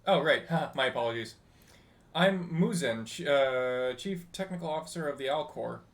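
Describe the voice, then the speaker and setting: A man voicing a chatty, teasing ship's technical officer from a game, reading the dialogue aloud in an animated, slightly halting way. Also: mid-range voice, close to an everyday voice. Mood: friendly, teasing.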